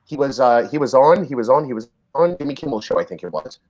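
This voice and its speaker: A man's voice: mid-range voice, quick pace. A man co-hosting a casual online comedy chat show, jokey and leading the conversation. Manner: animated, jokey, leads the chat. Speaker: show co-host.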